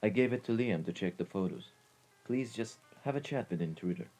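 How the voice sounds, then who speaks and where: Man playing a deep, dark-voiced mafia henchman. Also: expressive, a little fast, natural voice. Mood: persuasive. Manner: polite, persuasive, formal. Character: deferential, smooth male mafia henchman. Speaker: ASMR roleplay voice actor. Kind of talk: ASMR roleplay drama. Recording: music behind the voice. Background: classical background music.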